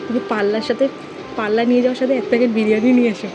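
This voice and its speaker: A woman chatting casually and excitedly with friends in a playful, teasing way. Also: a little high, racing, over music, rough recording.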